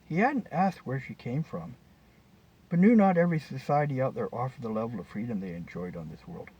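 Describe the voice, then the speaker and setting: A man's voice: mid-range voice, expressive, brisk, husky. A man reading his short story aloud as scripted narration. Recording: distant mic, dull, faint background noise.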